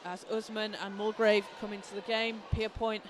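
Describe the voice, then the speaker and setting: A man, hoarse from a cold, giving excited live play-by-play basketball commentary in an indoor arena. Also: sits high, moderate pace. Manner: excited, shouting calls on big plays. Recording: clear arena noise behind.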